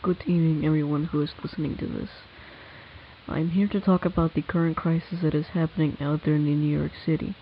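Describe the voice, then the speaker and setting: A man playing a government scientist giving a grave, careful public statement, speaking semi-formally and a little haltingly, on a lo-fi recording. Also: a little high, level tone, close to an everyday voice. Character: male government disease scientist addressing the public, grave, hesitant. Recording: dull lo-fi audio with hiss.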